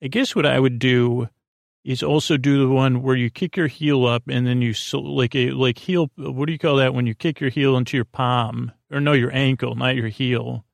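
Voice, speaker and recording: A man with a gravelly, fry-laden voice delivers a rambling bedtime monologue as a podcast host. His pitch sits in an ordinary male range, and he meanders haltingly, dropping and restarting thoughts. The sound is dry and close, with very little room. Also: steady tone, dry recording. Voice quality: creaky, gravelly.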